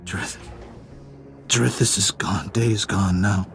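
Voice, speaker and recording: An actor playing a drugged, confused and compliant slave in a video game, with halting, disjointed, stammering speech. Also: male voice, on the low side, steady tone, gravelly, soft background music. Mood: dazed, halting. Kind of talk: video game character acting.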